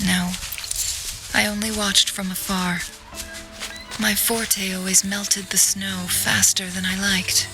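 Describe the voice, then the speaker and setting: An actress playing a calm, graceful young woman in a video game, soft-spoken and wistful in manner. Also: medium pitch, slow pace, pauses often. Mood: gentle, reflective. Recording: music behind the voice. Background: music.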